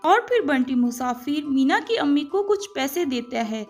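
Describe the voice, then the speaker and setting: A woman's voice: sits high, sing-song, moderate pace, faint music behind. A woman reading scripted storybook narration for a children's cartoon, as the storyteller.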